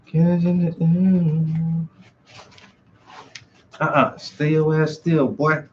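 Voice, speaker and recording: A man's voice: a little high, little pitch movement, takes it slowly, lo-fi sound, a little hiss or hum. A man talking casually to himself while he works on a craft project, muttering, humming and breaking into song. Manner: mutters, hums and sings along while working. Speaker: artist and channel host.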